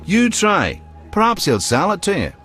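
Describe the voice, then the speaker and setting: A man's voice: high voice, wildly swooping pitch, moderate pace, a bit bright, faint music behind, exaggerated voice. An actor playing a chatty, helpful book trader in a video game, musing as he talks.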